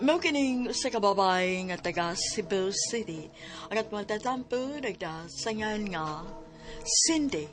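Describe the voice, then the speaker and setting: A woman's voice: medium pitch, lively intonation, measured pace, loud music behind the voice. A woman with a Cebuano Filipino accent, introducing a radio drama as its scripted narrator.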